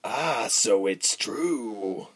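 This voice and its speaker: Slow, raspy, sinister villain. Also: male voice, lowish voice, heavily acted. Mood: cold menace. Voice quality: coarse, breathy rasp. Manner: slow, drawling, quiet and derisive. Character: sinister commander, slow, coarse, coldly menacing man. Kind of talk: audiobook character voice.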